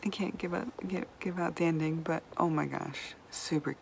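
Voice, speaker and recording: A woman's voice: low voice, fairly even intonation, moderate pace, gravelly, a little mellow, slight background noise. A woman reviewing books in a chatty, rambling way, swearing casually and sometimes dropping to a whisper. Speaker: book vlogger. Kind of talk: casual book review chat.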